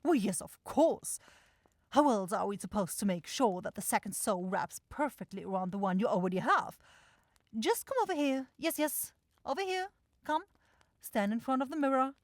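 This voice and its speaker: A woman's voice: a little high, animated, moderate pace, dry recording, studio quality, lightly acted. A woman playing a self-assured, impatient demon shopkeeper in a fantasy ASMR audio roleplay, with a theatrical, coaxing salesy manner. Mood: fussy, professional. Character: bossy, salesy demon-like soul shop keeper sister, impatient.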